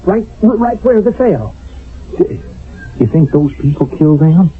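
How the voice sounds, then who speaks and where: A jumpy country soldier in a radio drama. The voice rides high and excitable, with folksy grammar, on a dull, narrow old radio recording. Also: male voice, measured pace, faint music behind. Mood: jumpy, baffled. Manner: folksy ungrammatical speech, excitable. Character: jumpy rustic young soldier, questioning his sergeant.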